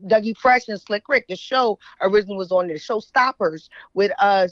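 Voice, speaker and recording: A woman's voice: medium pitch, brisk, no echo. A middle-aged woman with a mixed Philadelphia and New York accent tells stories in a casual, slangy way, often checking in with the listener. The sound is dry and a little dull and muffled. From West Philadelphia, United States. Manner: animated slangy storytelling, checks in with "right?". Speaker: radio show guest, writer. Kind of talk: casual interview chat, reminiscing.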